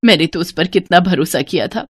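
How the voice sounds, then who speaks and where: A woman's voice: fairly low pitch, brisk, no room sound, studio quality, lightly acted. A woman voicing an illiterate, trusting older mother-in-law in a story, homely, earnest and a little fretful. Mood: worried, regretful.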